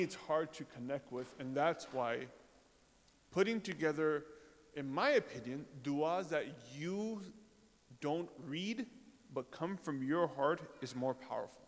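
Man preaching in a reverberant hall. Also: fairly high pitch. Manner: conversational, pausing with fillers, rhetorical questions. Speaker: religious speaker. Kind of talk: informal sermon to a congregation.

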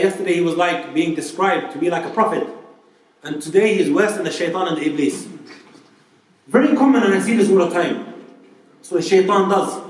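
A man with a fairly high voice gives a relaxed, conversational sermon, often asking his listeners questions. He is some way from the mic, and his words bounce around a large, very reverberant room. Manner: conversational, asks the audience rhetorical questions. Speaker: preacher. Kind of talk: informal Islamic talk to a live audience. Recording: very echoey hall, voice from far off.

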